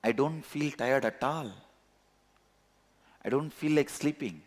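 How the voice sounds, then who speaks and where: A man's voice: mid-range voice, slow. A man with a Tamil accent giving a spiritual discourse as a teacher, speaking earnestly and repeating key words for emphasis. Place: large satsang hall. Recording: long reverb of a large hall.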